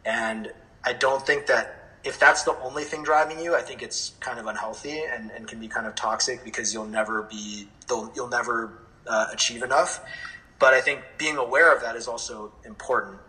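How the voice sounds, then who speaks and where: A man with a bright, clear-edged voice talks in a reflective interview. His pitch is average for a man. He sounds earnest and open, choosing his words with some hesitation. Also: normal speed, poor audio. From born in America to Indian parents, grew up in several countries. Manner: earnest, candid, hesitant with frequent throat clearing. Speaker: interview guest, dance music producer.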